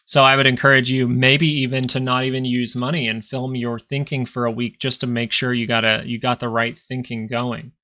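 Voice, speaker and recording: A man's voice: medium pitch, pushed and bright. A young man with a nasal voice hosting a live stock market stream, thinking aloud in casual, rambling talk with frequent restarts. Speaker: stock market livestream host. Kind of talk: live market commentary and chat with viewers.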